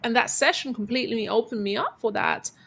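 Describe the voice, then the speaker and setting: A woman's voice: a little high, a little fast, creaky. A woman guest on an interview show, telling a personal story in an earnest, coaching way and repeating phrases for emphasis. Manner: calls herself quiet-voiced. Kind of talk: reflective motivational storytelling.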